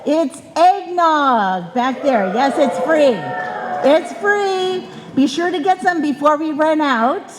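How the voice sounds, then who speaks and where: Slow, lilting woman announcer in a hall. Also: sits high, lively intonation, noisy background. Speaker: announcer welcoming a live show audience. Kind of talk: prepared welcome speech to an audience. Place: indoor stage in a club hall. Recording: reverberant hall, live stage event.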